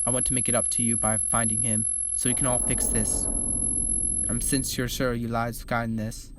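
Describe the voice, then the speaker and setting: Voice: mid-range voice, flat delivery, normal speed, background noise, pitched below the performer's own voice, lightly acted. A reader doing a worried young lad in a boy band. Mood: apologetic. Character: stressed young male pop singer, band's steady one. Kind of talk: reading fan fiction aloud.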